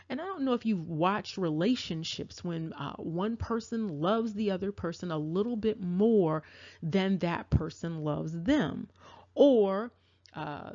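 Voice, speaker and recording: A woman giving spoken commentary to her viewers, deliberate and emphatic, with a repetitive cadence. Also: on the low side, a little mellow. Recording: dull lo-fi audio.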